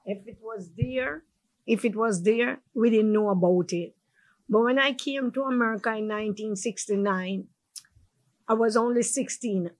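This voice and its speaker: A woman's voice: a little high, moderate pace, poor audio. An older woman from Jamaica with a Jamaican accent, a guest telling her life story in a group chat and laughing as she goes. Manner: lively, laughs as she tells it.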